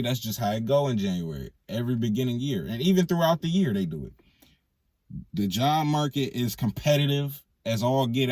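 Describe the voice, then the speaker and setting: A man's voice: mid-range voice, no echo. An American man giving a casual, emphatic opinion rant as a vlogger, repeating phrases for stress, his voice slightly worn from a cold. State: losing his voice, getting sick. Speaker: online vlogger.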